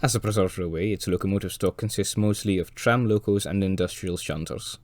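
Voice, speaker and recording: Hoarse male narrator, deep-pitched, for a dry-witted documentary voice-over. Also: brisk, darkish tone, no echo. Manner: dry, wry asides. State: hoarse from a cold. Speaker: railway video presenter.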